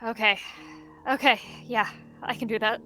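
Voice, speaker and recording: A woman's voice: on the high side, steady tone, moderate pace, pushed and bright, soft background music, low-quality recording. A woman voicing an anxious young she-cat who speaks shakily and hesitantly. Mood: shaky. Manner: shaky, hesitant.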